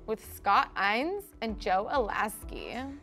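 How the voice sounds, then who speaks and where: A woman's voice: a little high, expressive, slow, bright, forceful tone, soft background music. A young woman bantering casually and jokingly as a contestant on a studio game show. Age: young adult. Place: indoor studio set.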